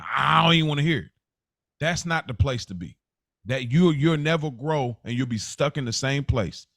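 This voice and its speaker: A man's voice: medium pitch, dry recording, clean studio sound. An American man hosting a podcast, talking casually and motivationally in a blunt, slangy, emphatic way. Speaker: podcast host.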